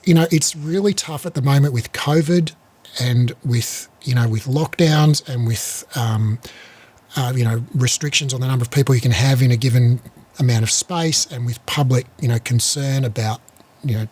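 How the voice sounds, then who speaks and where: A man's voice: medium pitch, normal speed, a little mellow, husky. A man in his fifties chatting casually as a podcast host, blunt and sweary, often restarting his phrases. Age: 50s. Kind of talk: casual chat.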